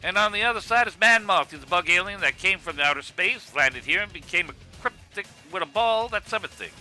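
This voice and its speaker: A man's voice: high voice, animated, fairly quick, pushed and bright, soft background music, heavily acted. A man playing a brash wrestling commentator in an amateur web cartoon. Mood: hyped. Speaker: sports commentator.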